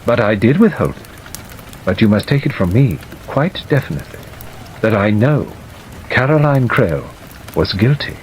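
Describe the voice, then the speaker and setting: A male narrator plays a stern older woman from a classic mystery audiobook. She is brisk, dry and precise, with firm, animated swings of pitch. Steady rain runs under the reading. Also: a little hiss or hum, rough recording. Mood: grave, certain. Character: stern, forthright older woman, a former governess, dry and unsentimental. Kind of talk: audiobook character dialogue.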